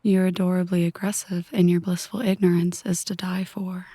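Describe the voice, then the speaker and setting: Woman acting a hushed, possessive female mafia boss. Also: lowish voice, little pitch movement, breathy edge. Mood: teasing, menacing. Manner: hushed, half-whispered, slow, mock-affectionate. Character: possessive yandere female mafia boss, coolly menacing and teasing. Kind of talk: ASMR roleplay.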